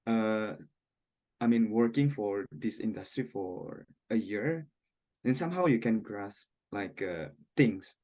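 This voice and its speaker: A man from Indonesia with an Indonesian accent, speaking as an interview guest in a casual, candid way, with halting phrasing and frequent hedging words. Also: on the low side, animated, a little mellow.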